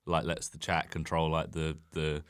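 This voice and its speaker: Very deep-voiced young male comedian. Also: monotone, moderate pace, very noisy. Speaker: comedian, podcast guest. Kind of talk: casual comic chat.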